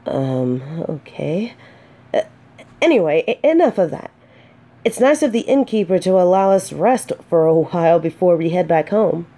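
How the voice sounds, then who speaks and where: A woman playing a gentle, earnest female knight in a fantasy romance audio drama, speaking softly with hesitant, dramatic pauses. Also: fairly low pitch, expressive. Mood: shy, fond. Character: gentle, earnest female knight consoling a despairing blind companion.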